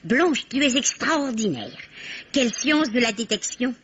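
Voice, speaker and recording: Naive, high, husky-voiced spinster sidekick. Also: female voice, expressive, unhurried, a bit bright, exaggerated voice. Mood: wondering. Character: naive, impressionable spinster, admiring sidekick of amateur sleuth. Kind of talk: old French radio play acting. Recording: dull, lo-fi old radio recording.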